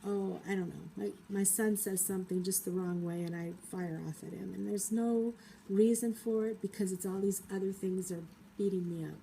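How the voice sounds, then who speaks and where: A woman with a cold, talking through her feelings in a thoughtful, hesitant personal vlog monologue with many pauses. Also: mid-range voice, fairly even intonation, gravelly, mellow, a little hiss or hum, rough recording. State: has a cold. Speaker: vlogger.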